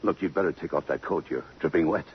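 An actor playing a tough, brooding police detective in 1950s American radio drama, curt and hard-boiled. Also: male voice, low-pitched, fast, slightly rough. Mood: brisk, probing. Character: tough, brooding police detective lieutenant smitten by a murder victim. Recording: muffled, noisy 1950s radio recording.

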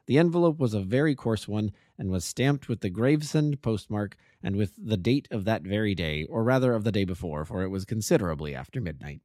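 Male amateur audiobook reader, lively, warm-toned, dry close-miked sound. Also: lowish voice, brisk, hardly any pauses, no echo. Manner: expressive, lively storytelling. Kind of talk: classic novel reading.

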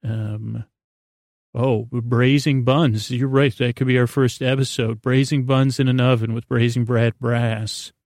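Guy doing a rambly sleep podcast intro, gravelly, lots of stop and start, lulling, really dry recording. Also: medium pitch, flat delivery, darkish tone, no echo. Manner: halting, meandering, self-interrupting, with trailing repetitions; unhurried, lulling delivery. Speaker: podcast host.

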